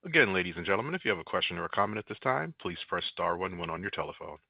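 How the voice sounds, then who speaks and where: A man's voice: sits low, very animated, very fast, husky, dry recording, muffled recording. A man working as a conference call operator, giving scripted instructions in a formal, routine way.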